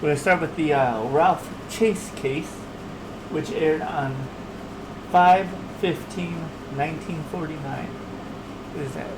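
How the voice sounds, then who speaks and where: A man hosting a livestream with casual, halting, unscripted chat. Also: fairly high pitch, background noise. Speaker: livestream host.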